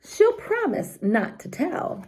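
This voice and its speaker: Woman reading as a crafty, unhurried farmer man. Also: sits high, very animated, warm tone, voice pushed up, exaggerated voice. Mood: resigned. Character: John, crafty cheerful poor farmer man.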